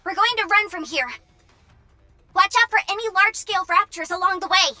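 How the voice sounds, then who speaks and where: A woman voicing an alert female guide in an anime game, brisk and instructive. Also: extremely high pitch, sharp tone, faint music behind, heavily acted. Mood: brisk, focused.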